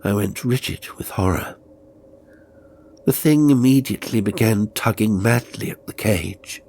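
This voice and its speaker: A man narrating a horror story as an audiobook reader, in a deliberate, suspenseful and theatrical way. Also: lowish voice, a little mellow, slight background noise. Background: faint music.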